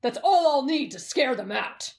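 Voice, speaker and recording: A woman voicing a brash, bossy young male warrior in an anime fan roleplay, blunt and gruff in manner. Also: sits high, lively intonation, moderate pace. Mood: brash, stubborn.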